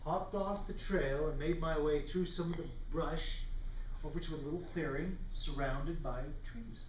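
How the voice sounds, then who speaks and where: A man from Arizona telling a story live to an audience, lively and vivid, building tension with pauses. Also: a little high. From Arizona, United States. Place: meeting room before an audience. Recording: echoey room, from far off, faint hiss.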